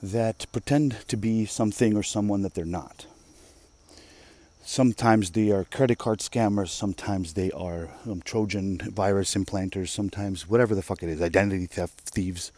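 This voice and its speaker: Man, native Russian speaker, mellow tone, emphatic, swearing, rambling rant. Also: lowish voice, fairly even intonation, normal speed. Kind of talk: casual rant monologue.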